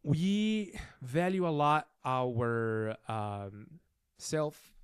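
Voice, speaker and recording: A man's voice: mid-range voice, extremely slow, clear, resonant tone, dry recording, clean studio sound. A young man from Brazil with a Brazilian Portuguese accent, a native Portuguese speaker chatting easily as a podcast guest and searching for words now and then. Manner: easygoing, searches for words, trails off softly. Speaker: guest, filmmaker. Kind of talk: casual interview chat.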